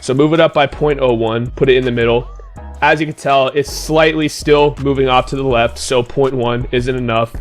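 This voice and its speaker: Casual gaming tutorial host, a man with a slightly hoarse, mid-pitched voice who talks loosely to his viewers over faint background music. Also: fairly even intonation, normal speed. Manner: casual, talks straight to viewers, many "like" fillers. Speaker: gaming YouTuber.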